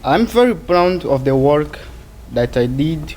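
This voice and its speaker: A teenage young man from Angola, a native Portuguese speaker with an Angolan Portuguese accent, giving a prepared personal speech in careful, halting English. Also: on the high side, lively intonation, a little mellow, a little hiss or hum. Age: teens. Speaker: student award presenter.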